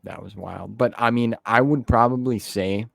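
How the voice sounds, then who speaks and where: A man's voice: medium pitch, moderate pace, vocal fry, no echo, studio quality. A man hosting an interview as a casual fan chat, talking informally and repeating phrases for emphasis. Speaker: podcast host interviewing a guest. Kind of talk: casual fan conversation about novels.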